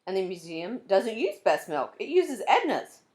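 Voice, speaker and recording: Woman reading as a bright young girl detective, storybook voice, lively pitch. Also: moderate pace, natural voice. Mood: eager. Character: young girl sleuth, calm, sharp-eyed.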